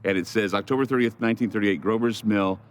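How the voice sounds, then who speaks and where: Low-voiced man explaining informally. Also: fairly even intonation, fast talker, smooth. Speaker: on-location documentary commentator.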